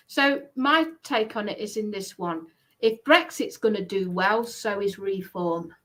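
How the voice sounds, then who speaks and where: A woman's voice: mid-range voice, unhurried, fairly bright tone. A British woman chatting casually about politics, making her points emphatically. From United Kingdom.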